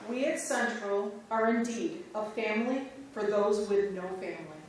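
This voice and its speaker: A woman reading a church history script aloud in reader's theater, in a plain, steady way. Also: mid-range voice, moderate pace, slight background noise. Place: large church sanctuary. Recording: echoey church from far off.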